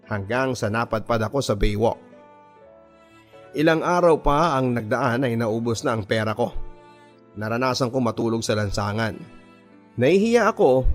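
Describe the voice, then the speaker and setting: A Filipino man tells a first-person story as a radio drama narrator. His medium-pitched voice is dramatic and confiding, reading from a script. Music plays behind him. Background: music bed.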